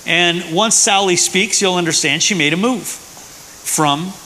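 A man's voice: sits high, slow, sharp tone. A man preaching casually to his congregation with fillers and restarts. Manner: conversational, with fillers. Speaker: pastor. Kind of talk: church sermon. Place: church hall. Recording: hissy echoey church hall.

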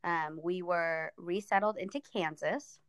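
A woman's voice: lowish voice, measured pace, clear, resonant tone, no room sound. A young woman from Ethiopia, a podcast guest chatting casually and thoughtfully, with frequent fillers.

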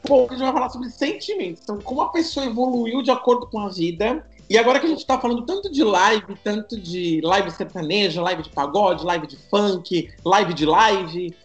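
A man's voice: high-pitched, lively intonation, moderate pace, hardly any pauses, faint music behind. Joking man in an echoey room. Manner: joking, teasing storyteller. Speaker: podcast co-host leading the episode. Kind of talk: casual podcast chat.

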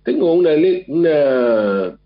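A radio host, sick at home with a mild flu and cough, musing in a thoughtful, rambling way with many restarts. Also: male voice, a little high, big pitch swings, slow pace. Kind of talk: reflective monologue. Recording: dull lo-fi sound.

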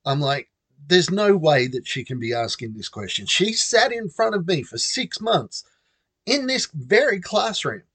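A man's voice: a little high, sing-song, a little slow, fairly bright tone, slightly rough, no room sound. A middle-aged Australian man casually telling a personal story, chatty and self-amused. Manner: chatty, self-amused, acts out quoted voices.

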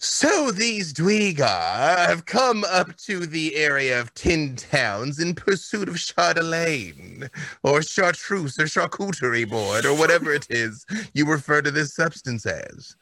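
A man's voice: fairly high pitch, big pitch swings, a little slow, bright, forceful tone, no echo. A man speaking as a boastful, grandiose fantasy hero, with pompous, formal diction in improvised banter. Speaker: talk show panellist performing in character. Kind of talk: in-character improvised banter about a fantasy game.

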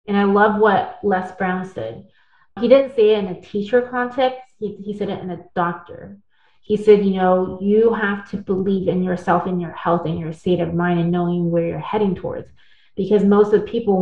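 A woman telling her own story in a casual interview, earnest and talkative, with tag questions and restarts. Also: medium pitch. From born in the United States, partly raised in Taiwan. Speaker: guest. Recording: echoey, phone-like audio.

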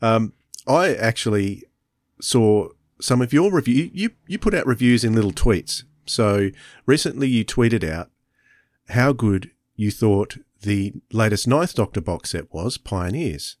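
A man's voice: lowish voice, animated, a little slow, darkish tone, no room sound, clean studio sound. A man co-hosting a podcast, chatting casually and appreciatively about what he has heard, often repeating phrases as he feels his way along. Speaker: podcast co-host. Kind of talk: casual review chat.

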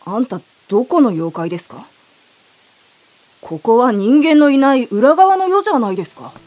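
A woman's voice: on the high side, sing-song, normal speed, darkish tone. A woman voicing a wary, curious yokai child in a Japanese audio drama, asking blunt, naive questions. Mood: incredulous.

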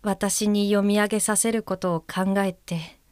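An actress playing a considerate, observant guide helper in an audio drama, speaking gently, politely and with care. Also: female voice, mid-range voice, fairly even intonation, measured pace, dry recording, clean studio sound, natural voice. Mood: considerate, probing. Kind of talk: Japanese audio drama acting, reading aloud and describing.

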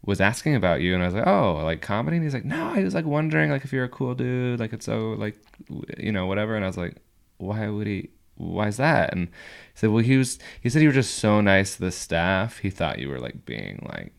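A man hosting a casual interview, rambling and restarting his sentences with quick laughs. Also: fairly low pitch, sing-song, creaky. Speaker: podcast host and comedian.

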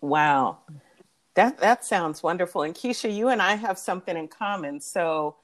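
Low-voiced chatty woman interviewer. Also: lively intonation, normal speed. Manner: affirming, chatty, asks long winding questions. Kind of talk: casual conversational interview.

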